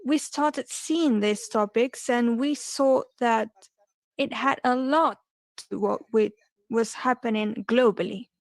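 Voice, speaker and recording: A woman interpreting a panel discussion live, her voice set a little higher than most. She moves slowly and haltingly, pausing often and correcting herself mid-phrase. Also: dry recording. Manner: halting, with pauses and self-corrections. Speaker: simultaneous interpreter.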